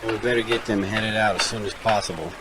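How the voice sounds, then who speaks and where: A man's voice: fairly low pitch, fairly even intonation, fairly quick, lightly acted. A man playing a practical survivor camp organiser in an audio drama, businesslike and directive. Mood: decisive. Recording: clearly noisy background.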